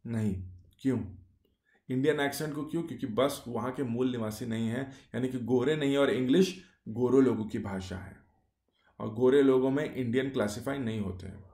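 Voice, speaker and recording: A man's voice: mid-range voice, a little fast, dark tone. A man giving a casual explainer monologue, speaking in short clauses with many fillers and rhetorical questions. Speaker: YouTube explainer. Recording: echoey room.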